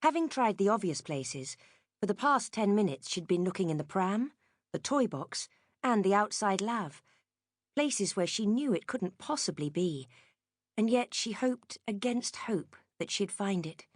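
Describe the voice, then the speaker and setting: British female audiobook narrator, expressive range, mid pitch, close and dry studio sound. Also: unhurried, dry recording. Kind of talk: scripted dramatic fiction narration. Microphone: close studio microphone.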